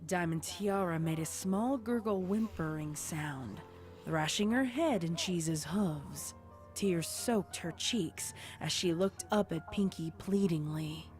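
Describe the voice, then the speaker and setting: Woman storyteller, lowish voice, scripted narration with music clearly behind her. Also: takes it slowly, darkish tone.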